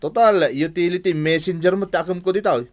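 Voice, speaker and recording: A man's voice: high voice, a little fast, close to an everyday voice. A man with an Ilocano accent playing a brash, defensive office messenger and husband in a Philippine radio drama, needling and argumentative. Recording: music under the voice.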